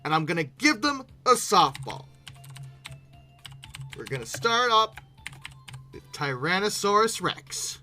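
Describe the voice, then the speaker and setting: A YouTube host giving casual, animated reaction commentary with exasperated asides. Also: medium pitch.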